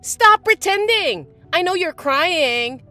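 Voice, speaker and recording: A woman voicing a bratty, spiteful young stepsister who talks in a shrill, mocking, bossy way. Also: lively intonation, slow, bright, forceful tone, faint music behind, full character voice. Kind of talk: voiced text-message drama.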